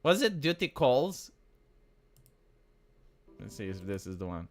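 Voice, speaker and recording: A man's voice: lowish voice, very animated, unhurried. A man from Romania chatting casually on a livestream, rambling and cursing with frequent stumbles and restarts. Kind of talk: casual streaming chat.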